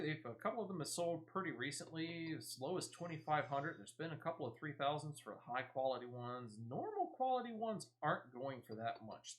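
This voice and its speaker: Male gaming streamer, bright forward tone, animated pitch, chatty and playful, talks through each step as he plays. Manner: chatty, thinks aloud. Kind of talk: casual gameplay commentary.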